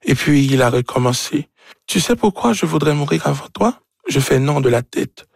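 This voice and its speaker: A man from Haiti with a Haitian accent and Creole as his first language, a novelist speaking haltingly as he searches for words. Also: on the high side, lively intonation, creaky, husky, no room sound, clean studio sound. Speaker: novelist being interviewed.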